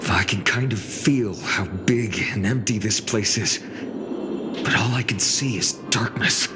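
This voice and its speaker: A man's voice: on the low side, unhurried, over loud music, lightly acted. A man playing a wary, thoughtful young hero in a video game, speaking in a subdued way. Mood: uneasy.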